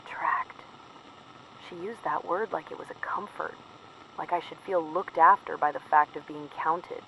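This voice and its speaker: A woman's voice: low-pitched, fairly even intonation, a little slow. Through a dull, slightly noisy radio link, a guarded female field operative played by an actress files a secret report. She is weary and afraid, choosing plain words with care, as in a dystopian audio drama. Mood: guarded, uneasy. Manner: careful, plain-spoken, confiding. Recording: muffled noisy radio transmission.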